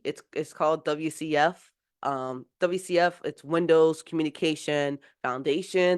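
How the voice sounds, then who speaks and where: A woman teaching a live mentoring session while getting over a cold, explaining in a casual, rambling way with many fillers and restarts. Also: fairly low pitch. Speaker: software engineering mentor.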